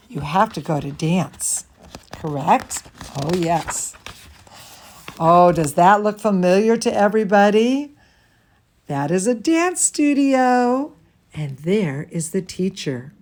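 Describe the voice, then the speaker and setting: A woman reading a children's story aloud as a teacher would, expressively, with chatty asides and questions to her young listeners. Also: mid-range voice. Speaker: dance studio teacher reading a bedtime story to students.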